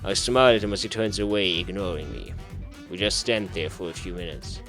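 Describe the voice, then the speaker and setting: A man's voice: low voice, fairly quick, fairly bright tone, soft background music. A man narrating a visual novel aloud during a playthrough, with a dramatic read-aloud delivery. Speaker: gaming video creator.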